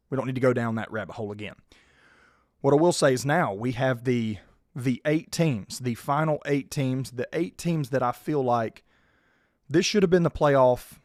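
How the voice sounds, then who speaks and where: A man, hoarse and losing his voice, hosting a casual sports podcast and keeping his volume down. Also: mid-range voice, darkish tone, dry recording, clean studio sound. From South Georgia, US. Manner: restrained, holding his volume down. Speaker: podcast host.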